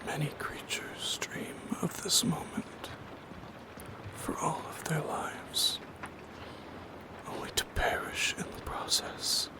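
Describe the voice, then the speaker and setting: A man voicing a lonely, yearning shadow creature in a soft-spoken ASMR roleplay, whispering in a solemn, halting, poetic way with a breathy, creaky edge. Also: close to an everyday voice. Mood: sorrowful, haunted.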